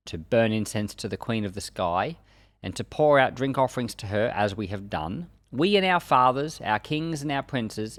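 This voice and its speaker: A man's voice: medium pitch, no echo. A man reading scripture aloud as a Bible teacher, in a solemn, emphatic declaiming manner.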